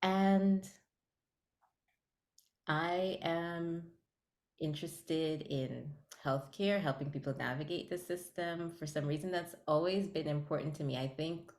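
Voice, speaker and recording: A woman from Guyana talks as a guest in a casual interview, cheerful and quick to laugh. Her voice sits low for a woman, and the recording carries a noticeable room echo. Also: fairly even intonation, darkish tone. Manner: cheerful, laughs between phrases. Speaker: podcast founder, guest.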